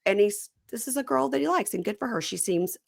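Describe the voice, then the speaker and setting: A woman's voice: a little high, fairly quick, vocal fry, no room sound, clean studio sound. A woman from Mississippi with a Southern accent, hosting a casual reality TV recap podcast and wandering off on chatty tangents. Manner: chatty and animated, jumping between tangents. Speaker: podcast host.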